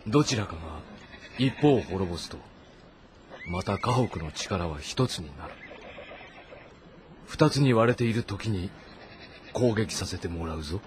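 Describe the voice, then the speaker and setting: Imperious warlord, swinging pitch. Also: male voice, expressive, a little slow, pauses often, noisy background. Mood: calculating. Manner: curt, commanding. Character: imperious, impatient male warlord of ancient China. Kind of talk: audio drama dialogue, period commanding speech. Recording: voice over background music.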